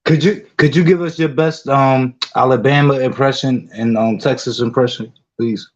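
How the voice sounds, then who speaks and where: A man's voice: medium pitch, steady tone, moderate pace, lo-fi sound. A man co-hosting a casual chat, playfully prompting the guest.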